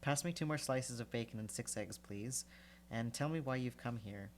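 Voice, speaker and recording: A man's voice: mid-range voice, moderate pace, a little hiss or hum, close to an everyday voice. A man with a congested voice reading the lines of a flamboyant, vain young male wizard in a lightly raised, airy tone. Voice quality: congested, sniffly.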